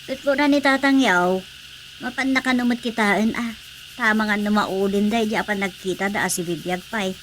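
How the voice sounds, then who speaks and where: A woman's voice: medium pitch, normal speed, close to an everyday voice. A woman playing a practical, fussing wife and mother with an Ilocano accent in a radio drama comedy. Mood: explaining. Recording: faintly noisy radio drama track.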